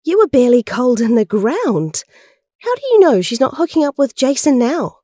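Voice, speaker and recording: Mellow-voiced earnest young heroine played by a woman. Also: sits high, sing-song, normal speed, no room sound, studio quality. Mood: jealous, accusing. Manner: earnest, pleading. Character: Kat, lovelorn, outspoken young female hero. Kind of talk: amateur audio drama acting.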